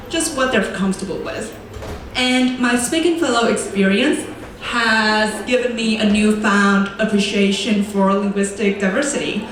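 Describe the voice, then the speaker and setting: A young woman, a native Vietnamese speaker, gives a prepared student presentation in a clear, deliberate way and slows down to stress key words. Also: mid-range voice, fairly bright tone, slight background noise. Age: young adult. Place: large echoey lecture room. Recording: very echoey room, voice from far off.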